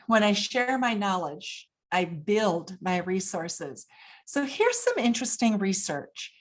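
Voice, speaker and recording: A woman from Japan speaking as an expert guest, explaining points deliberately and emphatically, posing questions and answering them. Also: mid-range voice. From Japan, grew up there. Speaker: interview guest, business expert. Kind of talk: explanatory interview talk.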